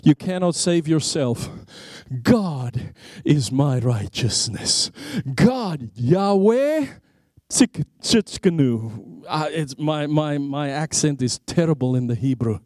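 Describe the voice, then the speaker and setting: A man evangelist preaching a sermon to a congregation, emphatic and repetitive, asking rhetorical questions and dropping his voice low on key phrases. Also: lively intonation, takes it slowly, a little mellow, no room sound, clean studio sound. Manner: emphatic, repeats words, swings between rising and low dropped phrases; explains Hebrew names of God with rhetorical questions.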